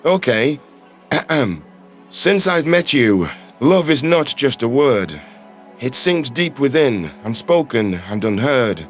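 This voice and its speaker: A man's voice: medium pitch, sing-song, slow pace, soft background music, voice pushed down. A man voicing a playful, romantic human ambassador who speaks with shy self-deprecation in a sci-fi audio story. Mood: romantic, bashful.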